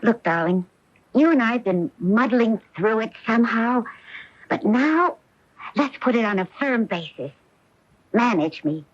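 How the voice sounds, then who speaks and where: A highish, very animated driven sports-star wife, earnest and loving. She pleads and persuades with open emotion in radio play style, close and dry on a muffled old broadcast. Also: a little slow, no echo. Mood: urgent, persuasive. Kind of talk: radio drama acting.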